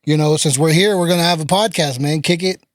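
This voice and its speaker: A man hosts a laid-back podcast in a voice pitched a little higher than most men's. His tone is easy and chatty, and the sound is close and dry. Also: fast talker, no room sound. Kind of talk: casual podcast chat.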